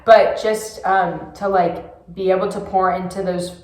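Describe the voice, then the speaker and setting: A young woman in an echoey room talks in a slightly low, earnest voice. She sounds enthusiastic and sincere as she answers casual interview questions about leading in youth ministry. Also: fairly even intonation, a little slow, darkish tone. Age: young adult. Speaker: youth ministry volunteer leader.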